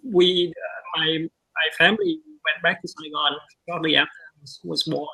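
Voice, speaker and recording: A transgender woman in her fifties who grew up in Vietnam, speaking slowly and hesitantly as a guest on a video call. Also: low voice, steady tone, vocal fry, sharp tone, low-quality recording. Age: 50s. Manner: slow, hesitant, with many pauses. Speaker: guest, nonprofit board president. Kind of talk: casual video call interview.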